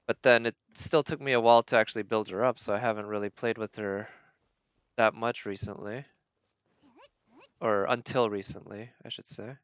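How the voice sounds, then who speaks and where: A man's voice: on the low side, a bit bright, clear, resonant tone, no echo, dull audio. A man doing casual live gameplay commentary as a streamer, thinking aloud as he narrates his own decisions. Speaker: game streamer.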